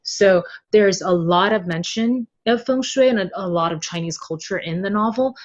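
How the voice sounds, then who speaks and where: A woman's voice: mid-range voice, normal speed, lo-fi sound. A woman speaking as an interview guest in casual, candid talk, expressively mimicking other people's reactions. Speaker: podcast guest, novel translator.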